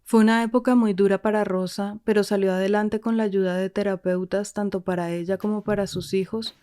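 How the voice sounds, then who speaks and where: A woman narrating a documentary-style podcast in a measured, scripted way. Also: mid-range voice. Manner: measured, even delivery.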